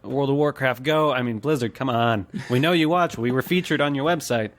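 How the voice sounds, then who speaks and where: A man with a mid-pitched voice co-hosts a podcast. He talks in a loose, conversational way, as in an informal discussion among regulars. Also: brisk. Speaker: podcast co-host. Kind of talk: casual chat.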